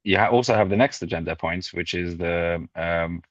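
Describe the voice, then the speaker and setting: A man with a very low voice moderates a community call, loose and a little searching. The sound is dry and dull, like lo-fi call audio. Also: normal speed, dry recording. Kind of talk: casual video call moderation.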